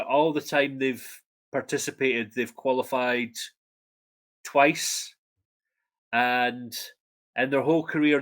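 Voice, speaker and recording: Young Scottish male co-host, bright tone, loose and hesitant, lots of fillers. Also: mid-range voice. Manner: hesitant, frequent ums. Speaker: co-host, Eurovision fact presenter. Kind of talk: casual chat.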